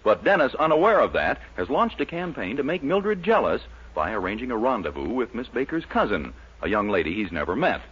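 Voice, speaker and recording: A man's voice: mid-range voice, very animated, brisk, a bit bright, husky. A male announcer delivering a scripted story recap on a 1940s radio show. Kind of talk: scripted narration. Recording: dull 1940s radio broadcast.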